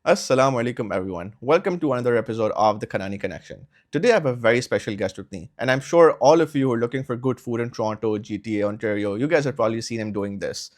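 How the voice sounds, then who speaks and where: A man's voice: mid-range voice, fast, hardly any pauses. A man in his twenties hosting an interview podcast, who asks plain, friendly questions and laughs often. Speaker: podcast host.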